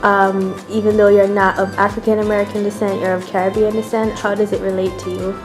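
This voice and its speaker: A woman's voice: medium pitch, level tone, fairly quick. A young woman interviewing students, asking short, friendly questions. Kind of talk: casual street interview. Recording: loud music underneath.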